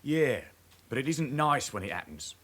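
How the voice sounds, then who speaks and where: An actor playing a young working-class crime suspect in a radio drama, casual but guarded. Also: male voice, mid-range voice, big pitch swings, moderate pace, a bit bright, breathy edge. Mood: guarded, curious. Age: young adult.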